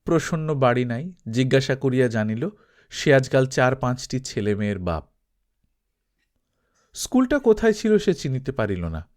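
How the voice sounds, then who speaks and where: Very expressive male storyteller. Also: medium pitch, normal speed, pauses often. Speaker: audiobook narrator. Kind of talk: expressive scripted literary narration.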